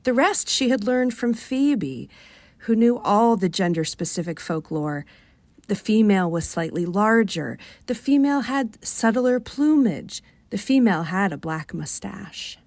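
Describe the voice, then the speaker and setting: A woman with a Rochester, New York accent reading a short story for radio in an expressive, wry manner. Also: mid-range voice, measured pace, dry recording. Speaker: author reading aloud.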